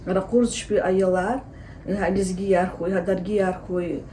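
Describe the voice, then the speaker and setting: Hesitant woman explaining, mellow voice. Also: fairly low pitch, little pitch movement, measured pace, a little hiss or hum, poor audio. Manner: explanatory, hesitant with frequent fillers. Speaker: Lezgian language teacher and researcher. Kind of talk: interview answers.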